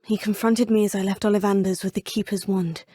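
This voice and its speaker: A woman's voice: mid-range voice, fairly even intonation, brisk, warm tone, slightly rough, no room sound, clean studio sound. A young woman voicing a game's player character, earnest and determined. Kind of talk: game voice acting. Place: recording studio.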